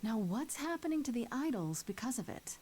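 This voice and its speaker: An actress playing a frank, inquisitive young muse in a story game, with natural, wry delivery. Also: female voice, on the high side, very animated, brisk, darkish tone, slight background noise. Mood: thoughtful. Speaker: voice actor. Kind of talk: casual, natural game dialogue.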